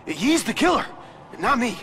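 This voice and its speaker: A man's voice: very high voice, sing-song, moderate pace, sharp tone, a little hiss or hum, heavily acted. A man voicing a scared, stammering ordinary man pleading innocence in a video game. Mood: anxious explaining. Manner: stammering, whining. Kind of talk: video game dramatic acting.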